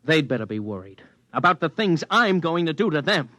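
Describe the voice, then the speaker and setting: An actor playing a combative, insistent young physicist in a 1950s radio drama. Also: male voice, on the high side, sing-song, fairly quick, background noise. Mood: wary, defiant. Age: young adult. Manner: insistent, argumentative.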